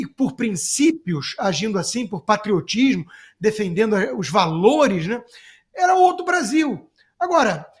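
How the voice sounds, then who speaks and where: High-pitched Brazilian man, sarcastic commentator. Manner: sarcastic, emphatic, colloquial. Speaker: political commentator. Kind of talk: opinionated political commentary, spoken off the cuff. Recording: music playing behind the voice. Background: music.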